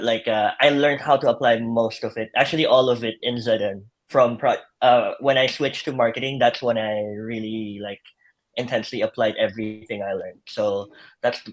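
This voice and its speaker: A young man on a video call as a guest, talking in a relaxed way about his experience as an intern and often saying "like". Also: mid-range voice, normal speed, low-quality recording. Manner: relaxed, lots of "like" and restarts. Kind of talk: casual live-stream chat. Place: home, Madrid.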